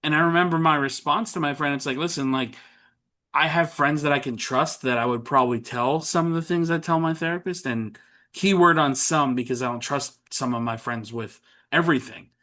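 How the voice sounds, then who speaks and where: A man's voice: fairly high pitch, brisk, pushed and bright. A man hosting a casual interview, talking in long, rambling turns and often restarting his questions. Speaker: podcast host.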